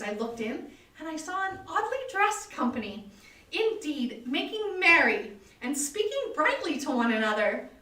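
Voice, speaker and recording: High-pitched woman reading gothic horror, echoey. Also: a bit bright. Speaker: reader of horror stories. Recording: roomy echo, distant mic, live online stream.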